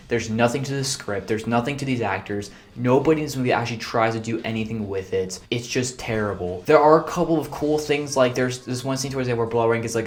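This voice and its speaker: A young man reviewing movies in a casual, blunt rant full of fillers, sounding exasperated. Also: medium pitch. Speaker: video reviewer.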